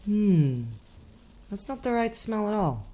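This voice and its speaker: An actress playing a mysterious, composed woman hitchhiker in an audio drama, speaking in a cryptic, measured, formal manner. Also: medium pitch, very animated, mellow. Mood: enigmatic, composed.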